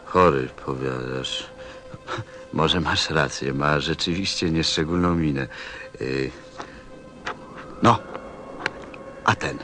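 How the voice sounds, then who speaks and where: A man's voice: extremely low pitch, takes it slowly, pauses often, a bit bright, faint music behind, exaggerated voice. An actor playing a stranded pilot in a radio play, puzzled but patient, musing and hesitant as he talks. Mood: obliging.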